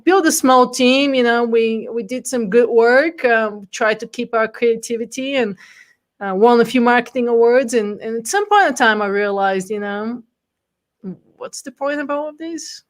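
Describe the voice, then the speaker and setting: A woman's voice: fairly high pitch, normal speed. Woman in her forties, interview guest, candid, frequent ums. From Bulgaria. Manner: frequent ums and light laughter, candid storytelling. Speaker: guest speaker. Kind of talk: casual interview chat.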